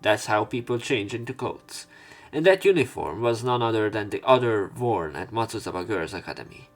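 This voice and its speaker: Low-voiced man narrating a game casually. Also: animated, normal speed. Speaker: let's play commentator. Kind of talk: reading game text aloud with casual commentary. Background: soft game music.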